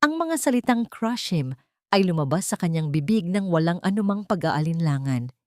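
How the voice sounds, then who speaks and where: Low-voiced Filipino woman narrating, dry sound. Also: very animated, fairly quick, no room sound. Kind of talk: scripted dramatic storytelling narration.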